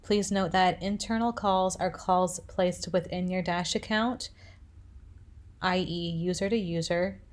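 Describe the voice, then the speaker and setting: Lower-pitched woman narrating a software tutorial. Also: steady tone, unhurried. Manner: step-by-step instructing. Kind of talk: instructional screencast narration.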